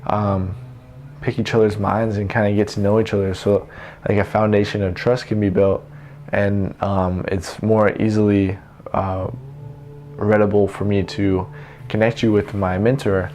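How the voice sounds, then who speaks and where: A young man giving casual, unscripted advice in an informal, halting way with trailing thoughts. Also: fairly low pitch, level tone, darkish tone. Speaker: vlogger giving self-help tips. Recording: noticeable background noise.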